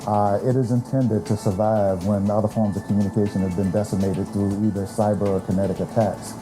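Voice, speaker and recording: An American man speaking as an emergency management official, explaining things in formal, measured phrases with small pauses. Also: lowish voice, little pitch movement, low-quality recording. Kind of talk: explanatory on-camera statement in an official video. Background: clear background music.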